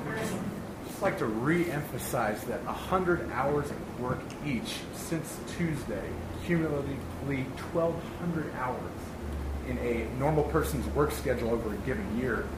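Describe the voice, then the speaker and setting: A man's voice: medium pitch, recorded from a distance. A young man from California moderating a public panel in a casual, upbeat way. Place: large hall with audience. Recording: echoey room with background noise.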